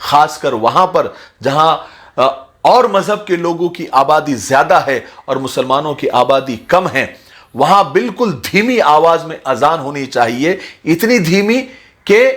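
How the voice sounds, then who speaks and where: A man giving an earnest, measured address to his viewers as a religious motivational speaker, in formal wording. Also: a little high, fairly bright tone. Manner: measured, appealing, formal vocabulary.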